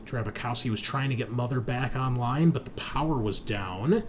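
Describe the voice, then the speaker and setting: A man's voice: mid-range voice, normal speed. A man narrating a tabletop roleplaying game as the game master. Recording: noticeable background noise.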